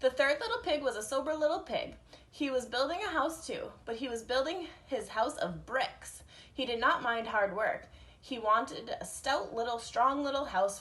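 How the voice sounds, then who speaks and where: A woman reading a picture book aloud to children as a teacher, in an expressive storytelling style. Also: on the high side, rough recording.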